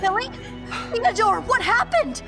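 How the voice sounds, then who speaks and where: A woman playing a worried, gentle middle-aged Victorian mother, formal and pleading, in a period audio drama. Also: very high voice, big pitch swings, a little slow, music in the background, full character voice. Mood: anxious, soothing.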